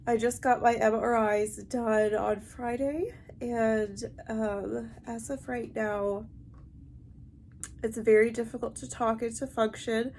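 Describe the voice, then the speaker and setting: A woman with a stuffy, heavily congested nasal voice, talking to camera in a halting, explanatory way in a personal vlog. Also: on the high side, steady tone, darkish tone. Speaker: vlogger. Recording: amateur recording with light background noise.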